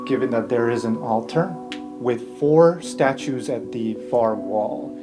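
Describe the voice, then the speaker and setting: Male game master, mid-range voice, descriptive and off-the-cuff, over plucked guitar music. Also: mid-range voice. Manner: descriptive, conversational, pausing mid-sentence. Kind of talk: improvised narration of a tabletop game.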